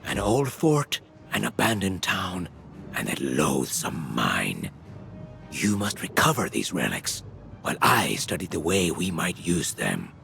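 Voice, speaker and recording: A slow, low, solemn captive scholar. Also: male voice, wildly swooping pitch, creaky, a bit bright, exaggerated voice. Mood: grave, resolute. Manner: measured, theatrical, earnest delivery. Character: solemn captive scholar man reading scripture with earnest hope. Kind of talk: video game drama acting.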